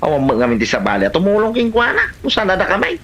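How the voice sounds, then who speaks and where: Casting: actor for nagging, plain-spoken Ilocano relative, highly animated, sharp-edged delivery. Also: racing, full character voice. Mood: matter-of-fact. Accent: Filipino, Ilocano. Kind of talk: Ilocano comedy drama acting.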